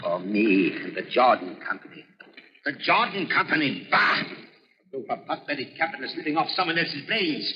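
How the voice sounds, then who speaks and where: An actor playing an irritable, embittered old scientist in a grandiose, condescending, emphatic 1930s radio style. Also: male voice, medium pitch, big pitch swings, normal speed, a little hiss or hum, lightly acted. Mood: bitter, vengeful. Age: older. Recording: muffled 1930s radio recording.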